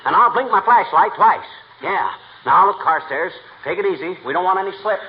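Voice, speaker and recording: A folksy, boastful small-town husband in a 1940s radio comedy. His voice sits on the high side and he blusters in slangy wisecracks. The recording is muffled, with clear noise behind him. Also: animated, brisk. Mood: self-important.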